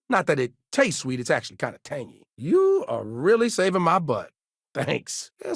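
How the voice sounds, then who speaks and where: Upbeat gullible burger-joint manager in a game, voice bouncing all over, bone-dry sound. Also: male voice, a little high, dry recording, exaggerated voice. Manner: upbeat, chatty, salesy. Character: cheerful eager fast-food manager, adult man, gullible. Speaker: fast-food restaurant manager. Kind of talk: video game voice acting.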